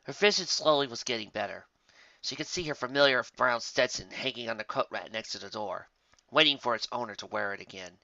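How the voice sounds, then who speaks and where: A man reading scripted story narration as an amateur, expressive and occasionally stumbling over the text. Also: medium pitch, brisk, creaky, no room sound, muffled recording.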